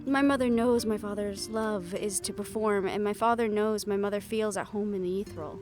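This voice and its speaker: A woman's voice: medium pitch, fairly even intonation, normal speed, music in the background, close to an everyday voice. A woman playing a young, wry and affectionate siren-banshee hybrid in an intimate roleplay audio drama, talking in a teasing, conversational way. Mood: wry, amused. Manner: soft-hearted, teasing, conversational storytelling.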